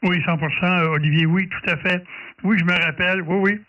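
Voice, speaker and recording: A man's voice: high voice, steady tone, fairly quick, background noise. A man with a Quebec French accent chatting casually and hesitantly as an amateur radio operator over a radio link. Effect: ham radio transmission. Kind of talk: casual ham radio exchange. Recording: distant, muffled shortwave radio signal.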